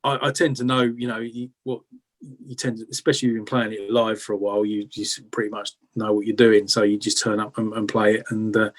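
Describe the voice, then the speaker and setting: A British man chatting casually and informally as a guitarist being interviewed, often adding tag questions. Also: medium pitch. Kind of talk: casual chat about music-making.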